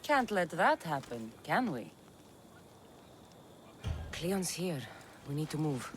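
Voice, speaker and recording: A woman's voice: sits low, big pitch swings, slow, noisy background, exaggerated voice. A woman playing a tough, determined Greek mercenary in dramatic video game dialogue, firm and resolute.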